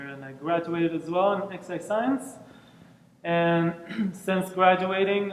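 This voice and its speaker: Steady, even-toned man in an echoey hall. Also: a little high, a little hiss or hum. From Israel. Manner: measured, thoughtful with frequent pauses. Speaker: performance center director and lab coordinator. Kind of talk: panel discussion. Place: campus hall. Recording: echoey hall with faint ambience.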